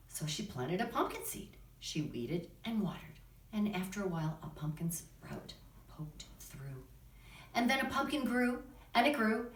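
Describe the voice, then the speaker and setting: A woman drama teacher presenting and narrating for children's theater, in instructional talk and storytelling. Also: fairly high pitch. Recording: echoey room, distant microphone.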